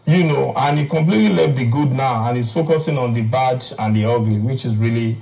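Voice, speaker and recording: Halting Nigerian male commentator, mid-pitched. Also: creaky, warm tone, muffled recording. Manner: halting, repeats phrases. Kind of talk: spoken opinion commentary.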